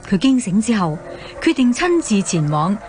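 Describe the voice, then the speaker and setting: A woman with a Hong Kong Cantonese accent narrating a scripted radio drama as an expressive storyteller. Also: medium pitch, moderate pace.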